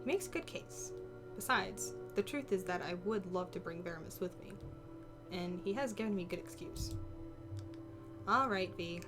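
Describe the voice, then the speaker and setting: A wary, secretive heroine from a visual novel, read out by a female player. She thinks aloud in a hesitant, brooding way at a middling pitch, over clearly audible music. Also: lively intonation, normal speed, lightly acted. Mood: irritated, reasoning.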